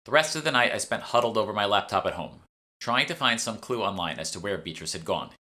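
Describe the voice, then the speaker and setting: A man's voice: on the low side, lively intonation, fast talker, pushed and bright. A man reading a first-person audiobook narration from a script. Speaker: author reading own audiobook.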